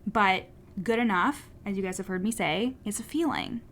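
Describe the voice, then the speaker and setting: A young woman with a stutter, hosting a casual solo coaching podcast in a candid, swearing, animated way. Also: mid-range voice, normal speed.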